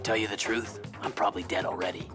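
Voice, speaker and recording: Game lead, male actor: tough stoic racer, low register, clipped deadpan delivery, fearless. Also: quick pace, over music, natural voice. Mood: dry, defiant. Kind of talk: game cutscene acting.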